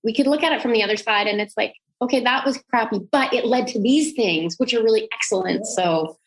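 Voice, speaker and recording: A woman's voice: fairly high pitch, fairly quick, breathy edge, rough recording. A woman from Calgary, Canada, hosting a podcast, engaged and laughing easily as she talks. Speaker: podcast host. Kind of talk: casual conversation.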